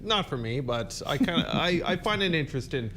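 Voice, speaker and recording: A man's voice: fairly high pitch, big pitch swings, fairly quick, a bit bright, a little hiss or hum. A man chatting casually as co-host at a TV news desk, hesitant and stammering. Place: TV studio desk.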